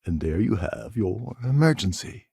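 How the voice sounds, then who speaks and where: An actor playing a gruff, cynical, self-serving space pirate in a video game, with a gravelly voice and a wry, sardonic, world-weary manner. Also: male voice, fairly low pitch, expressive, a little slow, mellow, no echo, clean studio sound, exaggerated voice. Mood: sly, conspiratorial. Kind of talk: game character dialogue lines.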